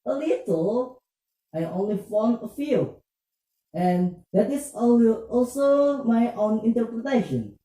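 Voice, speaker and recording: A performer acts out an earnest young student puppet, hesitant but eager to learn. The delivery is slow and halting, with a very dark tone, heard from a distance in an echoey room. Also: medium pitch, lively intonation. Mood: earnest, reflective. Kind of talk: scripted puppet show dialogue.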